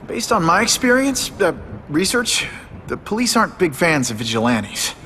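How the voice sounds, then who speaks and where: Young undercover hero: lightly high voice, earnest, casual, lively intonation, game dialogue. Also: male voice, moderate pace, a little hiss or hum, full character voice. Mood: wary. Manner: casual, slightly hesitant. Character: young male hero posing as reporter, earnest, wary. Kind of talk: video game dramatic acting.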